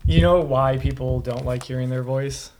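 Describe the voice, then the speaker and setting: A man's voice: medium pitch, steady tone, normal speed. A man joking around as a guest on a podcast, poking fun at himself. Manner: joking, self-deprecating. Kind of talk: casual conversation. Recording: noisy background.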